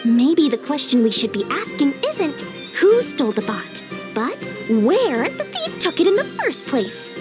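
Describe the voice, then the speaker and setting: A woman's voice: high voice, very animated, unhurried, over music. A woman playing a cunning, greedy young merchant with cheerful, theatrical sales patter in an anime game. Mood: sly, confident.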